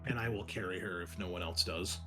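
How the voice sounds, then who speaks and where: A man's voice: deep voice, fairly quick, a bit bright, soft background music. A man chatting casually as a player in a tabletop roleplaying game.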